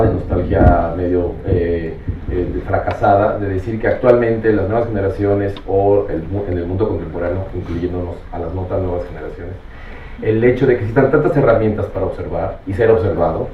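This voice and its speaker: A man moderating a round-table discussion off the cuff, haltingly circling back to rephrase as he goes. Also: sits low. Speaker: panel moderator. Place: roomy panel venue. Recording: echoey, distant mic, dull, faintly noisy.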